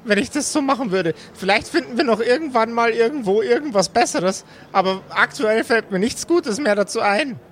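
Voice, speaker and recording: A man's voice: very high-pitched, lively intonation, normal speed, few pauses, fairly bright tone, a little hiss or hum. A man voicing a helpful, tinkering companion in a tabletop game, pondering and hesitant as he talks. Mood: helpful, unsure.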